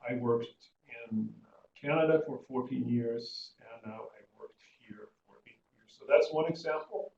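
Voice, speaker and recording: A middle-aged man from Sweden, a professor giving an informal webinar presentation in a halting way with restarts. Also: mid-range voice, gravelly, darkish tone. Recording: muffled, echoey room, faint noise.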